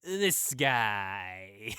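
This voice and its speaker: A man's voice: medium pitch, bright, forceful tone, clear, resonant tone, no room sound, studio quality. Playful, reassuring young man. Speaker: audio roleplay performer as a friend. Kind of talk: audio roleplay, comforting friend.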